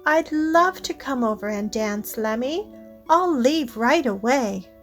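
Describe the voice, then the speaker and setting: A woman's voice: high-pitched, animated, slow pace, soft background music. A woman voicing a friendly, earnest young female unicorn in a storybook, talking carefully as if working through steps. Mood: cheerful, eager.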